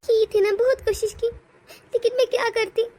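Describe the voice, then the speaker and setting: A woman's voice: very high voice, steady tone, normal speed, a little mellow. A woman voicing a meek, long-suffering mother-in-law in a cartoon family drama, crying as she speaks. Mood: tearful. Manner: sobbing, resigned.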